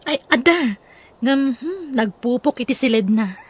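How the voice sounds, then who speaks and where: A woman's voice: high-pitched, animated, a little slow, breathy edge, full character voice. A woman playing a fussing, scolding elder sister in an Ilocano audio drama, speaking with an Ilocano accent. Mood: friendly, fussing. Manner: chiding, fussing.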